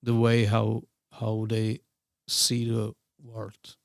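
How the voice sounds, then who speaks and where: A middle-aged man with a Czech accent, chatting as a podcast guest. He speaks slowly and haltingly, with lots of fillers, and his voice is gravelly. The sound is close and dry. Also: on the low side, monotone, no room sound. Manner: hesitant, many fillers and broken phrasing. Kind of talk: casual interview chat.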